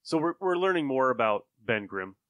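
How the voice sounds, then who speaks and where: A man's voice: medium pitch, big pitch swings, moderate pace, fairly bright tone, dry recording. A man hosting a podcast, talking in a casual discussion with friends. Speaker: podcast host.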